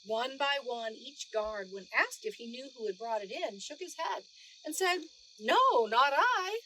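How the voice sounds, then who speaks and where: A woman narrating a children's storybook aloud in an expressive read-aloud style. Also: on the high side, normal speed, slight background noise, poor audio. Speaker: storybook reader.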